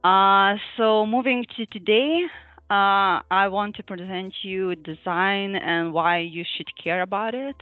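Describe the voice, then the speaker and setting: A woman's voice: mid-range voice, takes it slowly, no room sound. A woman from Lithuania giving an online user group presentation on a video call, hesitant with frequent fillers and small laughs. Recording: thin phone-like dry audio, faint music.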